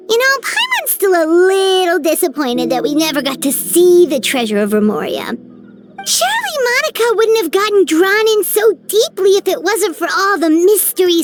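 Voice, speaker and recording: A woman voicing a tiny, chirpy, excitable fairy-like female companion in a video game, bubbly and talking about herself in the third person. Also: very high-pitched, normal speed. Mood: wistful. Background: game music.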